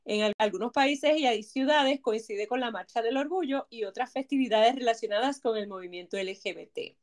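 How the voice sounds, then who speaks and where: Bright high-pitched woman host, jokey and talkative, casual podcast chat. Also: brisk. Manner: chatty, jokey, reads facts aloud.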